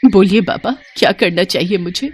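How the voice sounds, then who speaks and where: A woman's voice: mid-range voice, moderate pace, slightly rough, close to an everyday voice. A woman voicing a grieving, vengeful female snake shapeshifter in a storybook tale, dramatic and emotional. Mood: pleading.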